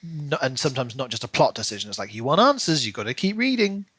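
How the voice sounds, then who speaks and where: A man's voice: medium pitch, very animated, quick pace, fairly bright tone, husky, no echo. A man co-hosting a podcast, chatting casually and giving his opinions about books, sometimes acting out imagined quotes. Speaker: podcast co-host. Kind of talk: casual book discussion chat.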